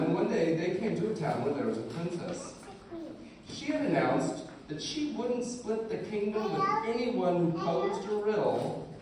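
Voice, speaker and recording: A man reading scripted puppet show narration aloud with deliberate, theatrical storytelling. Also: high voice. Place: indoor live puppet show, far from the mic. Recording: distant, echoey, noisy live room.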